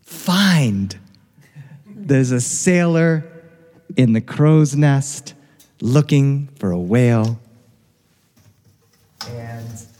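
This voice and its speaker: A middle-aged American man telling a first-person story live in an expressive, animated way with dramatic pauses. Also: mid-range voice. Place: reverberant studio, recorded live. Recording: live studio recording with long reverb.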